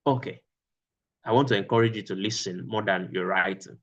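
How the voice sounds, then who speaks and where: A man's voice: lowish voice, fairly quick, muffled recording. A man teaching a class over a video call, repeating his phrases for emphasis. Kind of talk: online class lecture.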